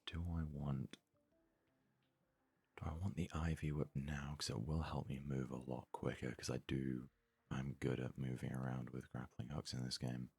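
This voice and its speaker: Very deep, creaky-voiced man murmuring softly. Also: little pitch movement. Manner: unhurried, murmuring, thinking aloud. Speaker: let's play host. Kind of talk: soft-spoken ASMR gaming commentary.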